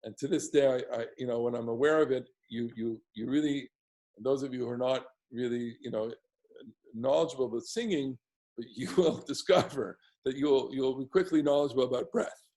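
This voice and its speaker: A man with a baritone bass voice teaching a casual class on prayer melodies from home, rambling with many restarts and asides. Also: fairly quick, mellow.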